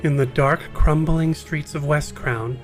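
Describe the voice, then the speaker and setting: A man's voice: fairly high pitch, fairly even intonation, unhurried, soft background music. A man reading dramatic scripted narration for a fantasy actual-play trailer.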